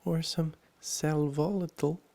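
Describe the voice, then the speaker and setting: A man's voice: on the high side, flat delivery, a little slow, creaky, dark tone, voice pushed up. A man voicing a polite, sensible young girl in a storybook, with a prim, questioning manner. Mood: helpful.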